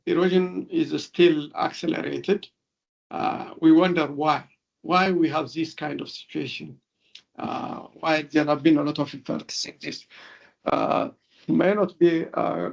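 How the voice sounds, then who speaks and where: Man, Ethiopian accent, researcher lecturing online, hesitant explanatory delivery, gravelly creak, slightly dull lo-fi audio. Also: a little high. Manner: halting, explanatory, frequent hesitations. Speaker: researcher and professor giving an expert talk. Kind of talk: webinar lecture with slides.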